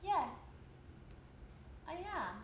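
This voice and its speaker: A woman's voice: extremely high pitch, big pitch swings, extremely slow, full character voice. A young woman acting in a live stage play as a blunt, grieving, strange loner who speaks in terse, solemn statements. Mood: bleak, grieving. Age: young adult. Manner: terse, literal, declarative statements. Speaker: student stage actor. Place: indoor theatre stage, far from mic. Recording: distant, noisy, echoey stage recording.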